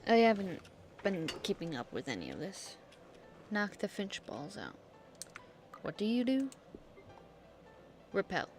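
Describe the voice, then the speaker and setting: A woman's voice: medium pitch, huge pitch swings, measured pace, long pauses. Woman muttering through casual gameplay, music behind. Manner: muttering to self in short reactive remarks. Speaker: game streamer. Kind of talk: casual gameplay commentary, thinking aloud. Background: video game music.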